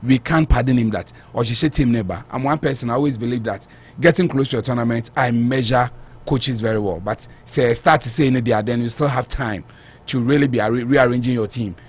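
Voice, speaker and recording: Male pundit, husky and emphatic, critical analysis. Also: medium pitch, fairly quick. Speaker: sports analyst guest. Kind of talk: sports analysis on radio.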